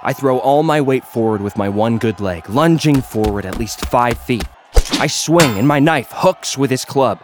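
A man's voice: medium pitch, lively intonation, normal speed, background noise, natural voice. An actor playing a battered young gladiator influencer, narrating his own story in a terse, dramatic, grimly intense way. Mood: grim, tense. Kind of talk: first-person audio fiction narration. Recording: prominent music under the voice.

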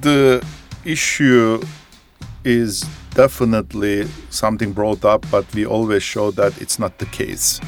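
A man being interviewed as a company executive, answering earnestly in halting phrasing with frequent fillers. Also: lowish voice, steady tone, faint music behind.

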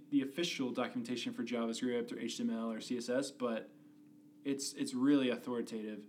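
A man with a mid-range voice talks casually as a podcast co-host. He walks patiently through technical details one step at a time. A faint hum sits under the recording. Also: mid-range voice. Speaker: podcast co-host, experienced developer. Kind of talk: casual explanatory chat.

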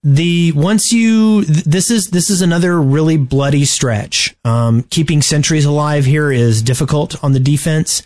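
A man's voice: on the high side, a little slow, few pauses, a little mellow. Man hosting a casual gaming podcast. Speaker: podcast host. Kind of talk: casual game strategy discussion.

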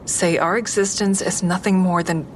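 A woman playing a calm, candid crisis line counselor in an audio drama, speaking in a measured, consoling way. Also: on the low side, slight background noise, natural voice. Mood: firm, encouraging. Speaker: crisis line counselor and inner-monologue narrator. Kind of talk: audio drama acting with first-person asides.

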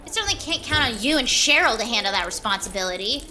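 A woman's voice: a little high, animated, fast talker, sharp tone, soft background music. A woman playing a vain, pompous Broadway star in a scripted comedy audio drama, talking in a theatrical way. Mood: indignant. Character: haughty self-important Broadway diva star, indignant and vain.

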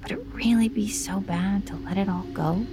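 An actress playing a sinister apparition of a desperate woman's own self, coaxing and taunting in a creaky voice, in an audio drama. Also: medium pitch, moderate pace, over music, close to an everyday voice. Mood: insinuating.

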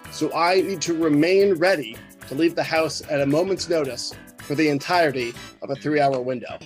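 A man with a slightly high voice speaks deadpan on a podcast, measured as if reading to his co-hosts. Faint music plays behind him. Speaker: podcast contributor. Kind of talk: podcast talk and reading aloud.

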